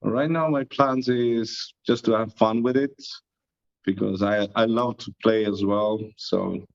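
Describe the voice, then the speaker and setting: A man's voice: lowish voice, fairly even intonation, a little slow, gravelly. A man with an Estonian accent, answering interview questions in an easygoing, modest way. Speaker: guest, disc golf YouTuber. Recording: muffled lo-fi audio.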